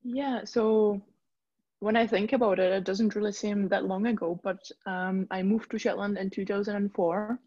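Woman in her 30s, even and flat delivery, dull dry line. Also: medium pitch, moderate pace, husky, dry recording. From Czech Republic. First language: Czech. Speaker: guest, tour business owner. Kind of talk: conversational interview.

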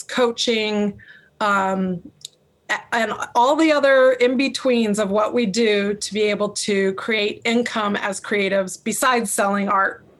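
A woman with a strong, loud voice talks passionately as an interview guest. Her voice sits a little high, and she laughs often between thoughts. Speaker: artist and color teacher, guest. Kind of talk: podcast interview chat.

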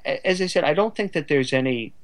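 A man's voice: a little high, lively intonation, fast. A man speaking casually as a writer guest in an interview, effusive and readily amused. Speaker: guest, radio drama writer.

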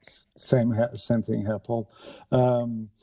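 A man hosting a conversational podcast on a mobile phone, courteous and halting, with rather formal wording. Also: mid-range voice, little pitch movement, dark tone. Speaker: podcast host. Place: remote conversation over a podcast app on a mobile.

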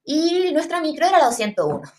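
A Chilean woman in her thirties tells a comic personal anecdote in a casual, rambling way. Her speech is full of slang and swearing, and her voice sits a little high for a woman. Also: sing-song, fast, darkish tone. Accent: Chilean Spanish. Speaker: storyteller.

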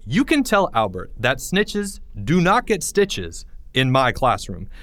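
Man playing exasperated teacher, firm, lively intonation, dry sound. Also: mid-range voice, slow, no room sound, heavily acted. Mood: stern. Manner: firm, reasoning, mildly weary. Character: patient, exasperated male schoolteacher.

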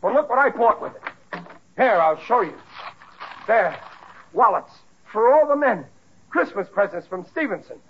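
An actor playing a blustering but good-hearted working-class family man in an old radio sitcom, plain-spoken and easily exasperated, with a rough voice. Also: high-pitched, sing-song, moderate pace, pauses often, exaggerated voice. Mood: indignant. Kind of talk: 1940s radio sitcom acting. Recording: muffled old radio recording.

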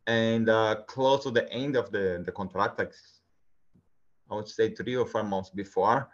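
Halting Brazilian man, low voice. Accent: Brazilian Portuguese. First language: Portuguese. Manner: halting, many fillers like "like" and "uh". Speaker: guest. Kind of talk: casual interview answers. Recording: dull lo-fi sound.

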